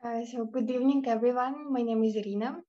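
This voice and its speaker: A woman's voice: fairly high pitch, fairly even intonation, fast, dark tone, muffled recording. A woman from Russia, a student speaking enthusiastically and reflectively on a remote panel over a video call. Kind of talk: casual remote panel answer.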